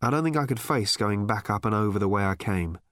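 A man's voice: lowish voice, animated, brisk, dry recording, clean studio sound. A man doing Arthur, a frazzled, bellowing everyman. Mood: mild, vague. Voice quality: exasperated, shouting sarcastic rants. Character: frazzled sarcastic middle-aged Englishman, man.

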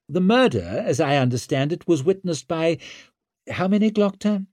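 A man voicing a sarcastic, exasperated king in an audiobook, with an incredulous, sharp-tongued manner. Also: fairly high pitch, very animated, moderate pace, dry recording, studio quality, voice pushed up. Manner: incredulous, sardonic.

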